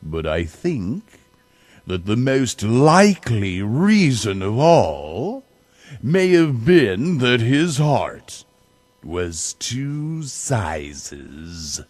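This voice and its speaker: Very slow, swooping male storybook reader. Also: on the low side, sing-song, darkish tone, dry recording. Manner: dramatic, drawn-out emphasis and building repetitions. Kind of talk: theatrical children's storybook narration.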